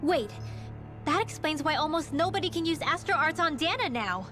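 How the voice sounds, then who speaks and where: A woman's voice: sits high, animated, moderate pace. An actress voicing an earnest, emotional young woman in a game. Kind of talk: video game voice acting. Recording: game audio with music.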